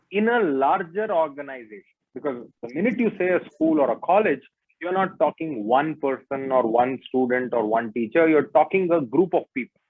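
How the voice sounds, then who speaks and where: A man with an Indian accent moderating a webinar panel in a formal, deliberate way. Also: on the high side, no room sound. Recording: tinny phone-like online call.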